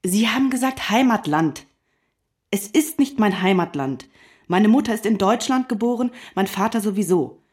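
An actress playing a precise, quietly indignant science writer in a radio drama, speaking tersely and matter-of-factly to correct someone. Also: female voice, mid-range voice, lively intonation, moderate pace, slightly rough. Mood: firm, correcting. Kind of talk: naturalistic German radio drama dialogue.

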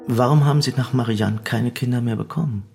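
An actor playing a formal, probing interrogator in a radio drama, questioning in a measured way with a gravelly, husky voice. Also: male voice, medium pitch, darkish tone, soft background music, natural voice. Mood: clinical. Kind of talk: German radio drama acting.

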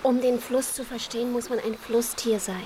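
Children's radio play: eager, curious little chick , acted by a woman, high voice, ambient noise behind. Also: fairly even intonation, normal speed, close to an everyday voice. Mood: hushed, curious. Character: small young chick, eager, curious, inquisitive.

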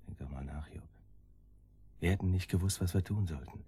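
A man's voice: low-pitched, a little fast, dark tone, breathy edge. An actor playing an older, cautious henchman in a radio drama, hesitant and brooding as he reasons things through. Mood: anxious reasoning.

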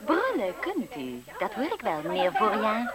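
An actress playing a bold, scheming young housemaid in a 1970s radio play, teasing and challenging. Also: female voice, medium pitch, huge pitch swings, moderate pace, a crowd in the background. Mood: teasing, daring.

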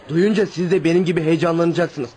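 An actor playing an excitable, scornful young nephew in a radio drama, telling his news with urgency. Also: on the high side, moderate pace, slight background noise, lightly acted. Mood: urgent. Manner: urgent, animated storytelling.

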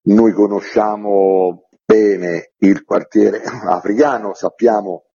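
Husky, low-voiced man, mellow and methodical. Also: fairly even intonation, slow pace. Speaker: author interviewed about his book. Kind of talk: explanatory radio interview. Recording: dull, lo-fi interview audio.

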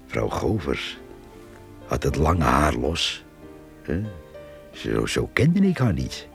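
An actor playing a simple countryman in a radio drama monologue, sombre, halting and reflective. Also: a bass voice, huge pitch swings, vocal fry, soft background music, exaggerated voice. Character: sombre, simple countryman recounting a neighbour's death.

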